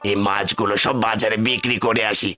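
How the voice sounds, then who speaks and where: A man as a bright, excitable village husband. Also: on the low side, a little fast. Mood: excited. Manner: exclamatory, theatrical. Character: excitable, hopeful poor village husband and fish farmer. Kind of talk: cartoon storybook acting.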